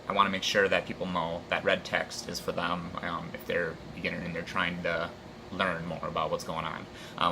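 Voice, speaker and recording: A man with a very deep voice talks loosely and unhurriedly, piling on fillers as he introduces his channel. A clear, steady hiss runs underneath the whole recording. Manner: rambling, filler-heavy, unhurried. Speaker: channel host. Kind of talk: casual vlog introduction.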